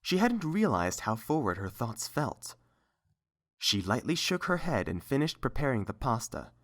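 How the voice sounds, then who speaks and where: A narrator reading a scripted story aloud as an audiobook, in a measured storytelling manner. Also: mid-range voice, big pitch swings, a bit bright. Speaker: narrator and reader.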